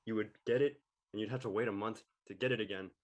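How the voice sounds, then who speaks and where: A man with a somewhat low voice talks informally in a group discussion. He speaks as a panelist, in everyday casual language. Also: steady tone, fast. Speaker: panelist in a post-show discussion. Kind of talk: casual chat.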